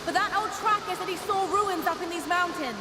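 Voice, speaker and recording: A woman's voice: very high voice, fairly quick, background noise. An actress playing a brave, driven young woman explorer in a video game, speaking earnestly. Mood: earnest, determined.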